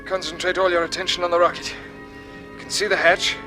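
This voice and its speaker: An actor playing a steady, decisive space captain in a 1950s radio drama, with brisk, clipped commands. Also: male voice, high voice, lightly acted. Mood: urgent, steady. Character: steady, decisive male space captain leading his crew. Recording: vintage radio serial with music behind.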